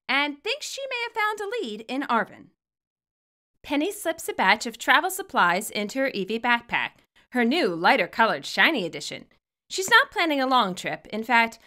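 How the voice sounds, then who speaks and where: A woman reading scripted commentary and story narration, expressive and wry as the author of the tale. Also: on the high side. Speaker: fan fiction author and narrator.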